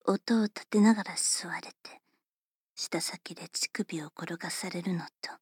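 A woman voicing a polite, teasing female servant who attends a young lady, speaking in formal, unhurried whispers for an ASMR roleplay. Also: fairly low pitch, steady tone, husky, no echo, studio quality.

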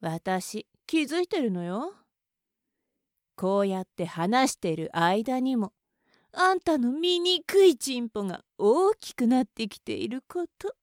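A woman's voice: on the high side, sing-song, slow pace, no room sound, clean studio sound. A woman playing a scornful, bossy young woman. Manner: contemptuous, mocking, bossy. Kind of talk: adult audio roleplay.

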